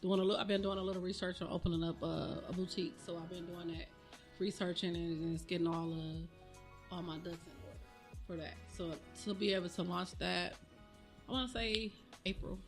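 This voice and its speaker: A woman in her forties chatting casually on a podcast, relaxed and agreeable, often giving short replies. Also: lowish voice, fairly quick, faint music behind. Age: 40s. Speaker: podcast guest, aspiring boutique owner.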